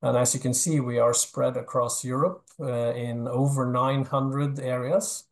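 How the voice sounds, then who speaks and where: Middle-aged man, hesitant and halting, filler-heavy webinar intro on a video call. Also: mid-range voice, little pitch movement. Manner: hesitant, many fillers and restarts. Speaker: park network task force speaker.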